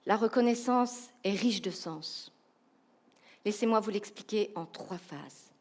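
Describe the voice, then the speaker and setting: A woman with a Belgian French accent giving a humorous, animated keynote talk, asking the audience rhetorical questions. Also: medium pitch, slow pace. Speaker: keynote speaker, professional coach.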